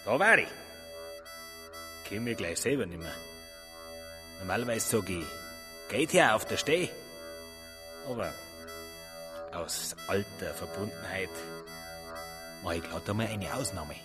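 Jeering Bavarian thug, wildly swooping voice. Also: male voice, lowish voice, animated, measured pace, pauses often. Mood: jeering, impatient. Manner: taunting, coarse, mock-polite. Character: menacing, jeering male ex-convict blackmailer. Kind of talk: radio drama acting. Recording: long reverberant space, music underneath. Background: music.

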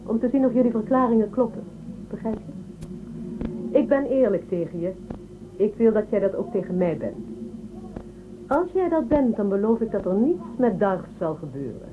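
An actress playing a courteous, probing imperial prosecutor in a radio drama, polite and coaxing in a controlled way. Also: female voice, medium pitch, sing-song, moderate pace, long pauses, natural voice. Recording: tinny phone-like sound with clear noise.

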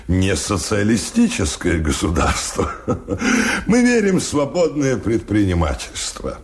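An actor in a Soviet radio drama playing a sly, unctuous government minister who speaks in grandiloquent, insinuating phrases. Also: male voice, fairly low pitch, wildly swooping pitch, measured pace, full character voice. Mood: insinuating, calculating.

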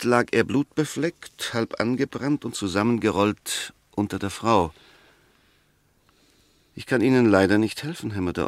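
An actor playing a sceptical, gently ironic defence lawyer in a 1960s German radio play, arguing in a measured, precise and pointed way. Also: male voice, fairly low pitch, no room sound, lightly acted. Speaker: defence counsel.

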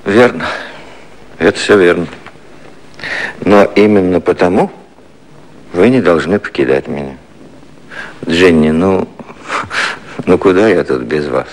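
An actor playing a wily elderly British prime minister in a 1950s satirical radio play, ironic, declamatory and coaxing. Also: male voice, sits low, unhurried, pauses often, rough recording.

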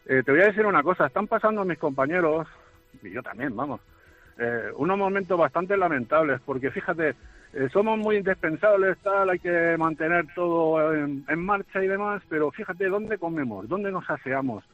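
A man's voice: a little high, lively intonation, normal speed. A nervous man with an accent from Spain calling a radio show as a truck driver, emphatic and plaintive, repeating himself. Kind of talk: phone-in testimony. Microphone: phone call. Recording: dull radio phone line, faint music.

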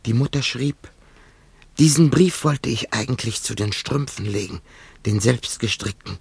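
An actor playing a solemn, haunted scissors grinder who survived a massacre, in a poetic radio play with a halting, incantatory delivery. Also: male voice, mid-range voice, creaky, slightly rough, full character voice. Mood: grieving, reverent.

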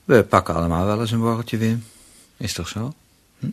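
A man's voice: lowish voice, a little fast. A male actor in a radio play playing a breezy, defensive middle-aged husband. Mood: guarded.

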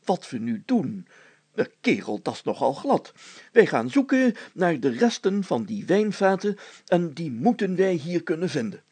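A man voicing a pompous, fussy, excitable town mayor in a story, exclaiming and stammering when flustered. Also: on the high side, unhurried, breathy edge, no room sound, heavily acted. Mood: instructive.

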